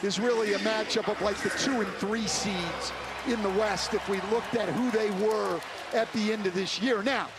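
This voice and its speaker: A man's voice: high voice, steady tone, normal speed, a loud crowd. A man giving analytical color commentary on a live basketball game.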